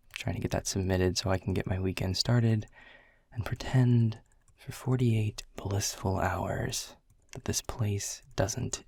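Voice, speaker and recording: A man's voice: darkish tone, dry recording, full character voice. An actor playing a world-weary, sarcastic male middle manager who grumbles and teases dryly. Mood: dry grumpy. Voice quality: low gravelly husky voice. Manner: sarcastic, grumbling, dry teasing. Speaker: boss giving a farewell speech. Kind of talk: audio roleplay acting. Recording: dry close mic.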